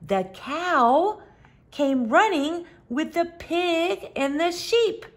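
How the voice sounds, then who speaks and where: Very slow, animated woman storyteller. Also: very high-pitched. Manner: expressive, with emphatic animal sounds and drawn-out words. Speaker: children's storytime host. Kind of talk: animated picture-book read-aloud for young children.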